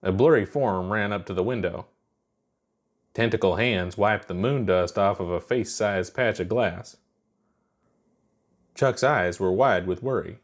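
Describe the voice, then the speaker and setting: Narrator: male, fairly low register, scripted fiction storytelling. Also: unhurried, long pauses.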